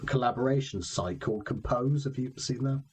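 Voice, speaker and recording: A man's voice: medium pitch, fairly quick, a little mellow, slight background noise. A man with a Somerset accent hosting a casual chat, rambling and self-deprecating as he talks. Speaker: podcast host.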